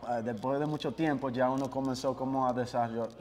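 A man's voice: medium pitch, a little fast, big-room sound, crowd noise. A young man, a guest musician born in the United States, chatting casually in an interview and dropping English words into his Spanish. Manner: mixes English words into Spanish, relaxed and laid-back. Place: small office with couches, indoors.

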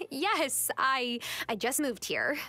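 High-voiced, friendly young newcomer. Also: female voice, very animated, slow, husky, soft background music, full character voice. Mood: friendly, polite. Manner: casual, polite, gently wry. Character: friendly, slightly wry young woman new in town.

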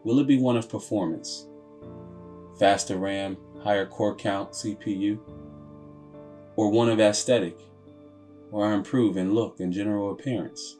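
A low-pitched male narrator delivers scripted storytelling in the role of a proud, grave machine. He speaks solemnly and deliberately, leaving dramatic pauses. Music plays clearly behind the voice-over. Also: fairly even intonation, warm tone. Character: computer processor telling its own story as a grave, proud character. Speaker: video narrator.

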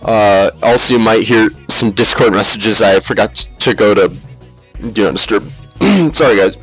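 A man hosting a casual comedic slideshow review, talking in a halting, rambling, profane, mock-serious way. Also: on the low side, fairly even intonation, fairly bright tone, husky, faint music behind, poor audio. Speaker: reviewer, video host.

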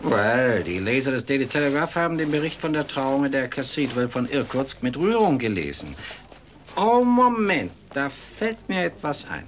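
Male actor as English newspaperman, very animated intonation, droll, businesslike, radio play, faint background noise. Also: mid-range voice, moderate pace, close to an everyday voice. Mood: matter-of-fact. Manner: droll, peppers talk with English interjections. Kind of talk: German radio play acting.

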